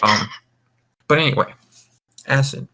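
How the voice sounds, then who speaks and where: A man's voice: mid-range voice, unhurried, sharp tone. Rambling man hosting a voice call. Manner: rambling, with frequent restarts and stammered repeats. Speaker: voice call host. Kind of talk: casual voice-chat podcast.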